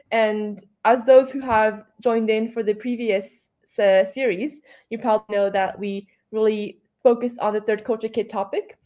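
A woman's voice: a little high, fairly even intonation, unhurried, dark tone. A woman hosting and moderating an online talk, asking questions in a friendly, polite way. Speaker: host and moderator.

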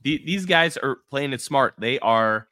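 A man's voice: medium pitch, expressive, a little slow, pushed and bright. A man hosting a podcast, talking in a laid-back, loose way with frequent fillers. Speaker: podcast host. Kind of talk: casual chat.

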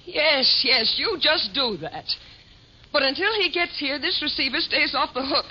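A frantic, defiant radio-play heroine, high and piercingly bright. She stammers and repeats herself as her nerves fray. The recording is muffled 1950s radio with noise under it. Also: animated, unhurried, heavily acted. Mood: indignant, defiant. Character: frantic, frightened woman with shattered nerves, indignant and defiant. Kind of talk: 1950s radio drama acting.

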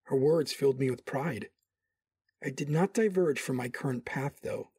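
An actor playing a proud, ardent warrior in love, earnest and commanding, in a first-person audio drama. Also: male voice, medium pitch, normal speed, darkish tone, breathy edge, no echo. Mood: proud narration.

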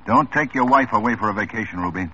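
A man's voice: on the low side, fast talker, very noisy, natural voice. A man playing a weary police lieutenant. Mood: grim. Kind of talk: 1950s radio drama acting. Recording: dull 1950s radio drama recording.

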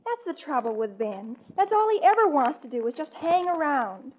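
High-voiced, pouty young niece. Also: female voice, big pitch swings, normal speed. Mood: petulant. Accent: American. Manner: pouty, exasperated. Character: petulant, dismissive young niece, teenage or barely older. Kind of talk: 1940s radio sitcom acting. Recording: muffled, noisy 1940s radio recording.

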